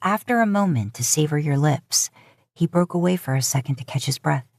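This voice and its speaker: Low, slightly husky woman narrating romance. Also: animated, fairly quick, a little mellow, no room sound. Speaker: podfic narrator. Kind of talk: scripted second-person romantic story narration.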